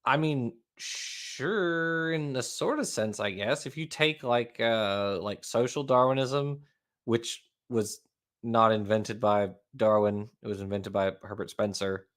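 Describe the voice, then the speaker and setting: A man's voice: mid-range voice, takes it slowly, a bit bright. A man with a Southern accent hosting a casual panel chat, reading out posts and answering them with wry sarcasm. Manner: wry, sarcastic, laughs often. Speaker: host.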